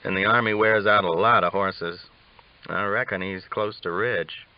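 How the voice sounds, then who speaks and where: A man's voice: on the low side, steady tone, normal speed, dull audio, close to an everyday voice. A man voicing an evasive, grumbling cowboy drifter with casual rustic speech. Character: evasive, grumbling cowboy drifter, male, self-styled young.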